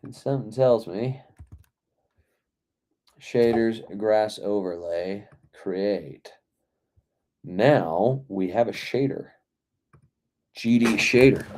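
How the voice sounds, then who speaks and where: A hoarse man with a dark, mellow low voice, losing his voice as he works. He speaks slowly and rambles, muttering half to himself while walking through a game dev session. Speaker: indie game developer. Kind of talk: casual dev log, thinking aloud while working.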